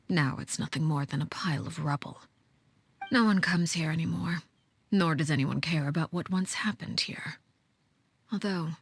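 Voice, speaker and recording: An actress playing a cold, menacing woman leader in a video game, speaking in a measured, formal, commanding way with a breathy hush. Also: on the low side, no room sound. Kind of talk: scripted video game voice acting.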